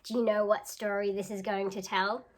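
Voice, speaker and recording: A woman teacher reading a picture book aloud to children, expressive and pausing to put questions to listeners. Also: medium pitch.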